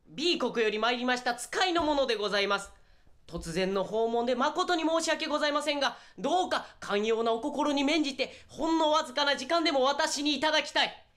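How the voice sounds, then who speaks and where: A woman's voice: sits high, fairly bright tone. A woman playing an earnest, fervent envoy in a radio drama, speaking formally and theatrically. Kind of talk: radio drama acting, formal diplomatic speech.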